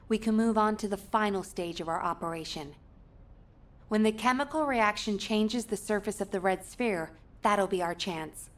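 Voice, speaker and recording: Woman playing a composed, serious-minded game mission planner, mid pitched briefing voice. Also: lightly acted. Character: composed, earnest female mission strategist. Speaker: mission operator. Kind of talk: video game voice acting.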